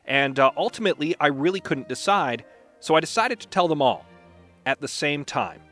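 Male podcast host, slightly high voice, wry deliberate storyteller, light music bed. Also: animated, fairly bright tone. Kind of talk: scripted narration and storytelling.

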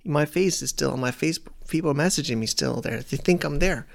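A man's voice: a little high, fast, vocal fry. A man in his late thirties, an internet marketer, ranting in a casual vlog in an exasperated, repetitive way. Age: late 30s.

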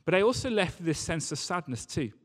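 A man's voice: on the high side, big pitch swings, fast talker. A man giving a sermon in a conversational, storytelling way, with emphatic repetition, recorded live in a large church hall. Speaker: preacher. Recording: live talk in a reverberant hall.

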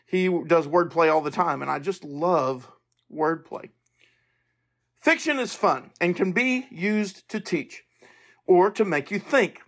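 A man's voice: high-pitched, unhurried, fairly bright tone. A man hosting a podcast, speaking informally and earnestly in a monologue to listeners. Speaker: podcast host.

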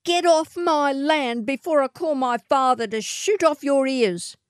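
A woman voicing a haughty, snobbish young outback woman in a storybook reading, with a shrill, sneering, theatrical delivery. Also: unhurried, no echo, clean studio sound.

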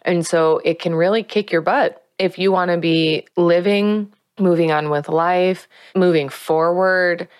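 A woman with a low, steady voice guides listeners through a spiritual practice. She talks in an earnest, coaching way and slows down to press key words home. The sound is close and dry. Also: clean tone, no echo. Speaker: spiritual teacher and author.

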